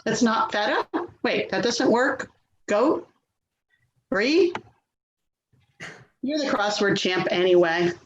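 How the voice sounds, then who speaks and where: A woman's voice: medium pitch, expressive, takes it slowly, long pauses. A woman playing an exasperated, worrying adult daughter in a readers theater play, nagging and put-upon, recorded in an echoey room. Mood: coaxing. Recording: echoey room, dull sound, faint noise.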